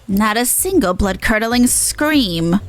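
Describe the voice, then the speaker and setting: Woman putting on a pompous game demon, gleefully macabre, swooping pitch, grandiose drawn-out phrasing, music behind. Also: expressive. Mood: wry, grand. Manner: grandiose, drawn-out dramatic delivery. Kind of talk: voiced reading of game dialogue.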